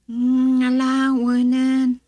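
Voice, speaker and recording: A woman's voice: high voice, completely flat delivery, extremely slow, warm tone, no room sound. A woman with an Ilocano accent playing a hesitant young woman in love, a dutiful daughter in a radio drama. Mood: playful, coy.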